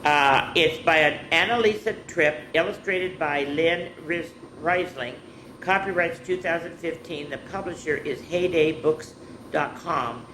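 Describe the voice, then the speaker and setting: Female children's story host, slow and chatty, in a roomy space with background noise. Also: deep voice. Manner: animated, chatty, playful asides. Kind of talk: reading picture books to kids.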